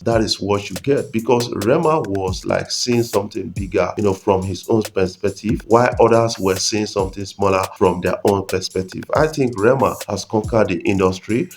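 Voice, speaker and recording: A young man giving steady, emphatic commentary on entertainment news, filmed indoors at close range. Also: on the low side, a little hiss or hum. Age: young adult. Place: indoor room.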